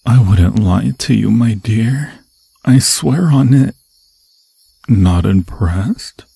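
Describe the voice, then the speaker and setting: A man voicing an obsessed undead forest demon in an ASMR fantasy roleplay, seductive and menacing, with a husky, breathy voice that often drops to a whisper and slow, theatrical, intimate phrasing. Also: on the low side, animated, dark tone, no echo, full character voice. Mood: mildly insulted. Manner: slow, theatrical, intimate, crooning endearments in archaic formal phrasing.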